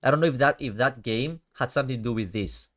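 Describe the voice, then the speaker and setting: Greek Cypriot streamer, chatty and slangy. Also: male voice, medium pitch, fast talker. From Cyprus. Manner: chatty, slangy, talks to chat, often drops to a mutter. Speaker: streamer reviewing card games. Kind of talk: casual stream commentary with chat.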